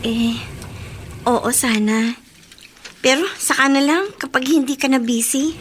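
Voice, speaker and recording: A woman playing a timid, respectful young student and younger sister in a radio drama, speaking softly and hesitantly. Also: high voice, unhurried, a little hiss or hum. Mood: evasive, uneasy. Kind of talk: Tagalog radio drama acting.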